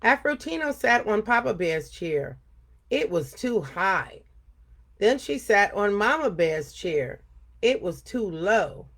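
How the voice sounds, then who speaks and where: An older woman hosting a storytime, speaking earnestly and deliberately, chatting informally and reading aloud for children. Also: mid-range voice, sing-song.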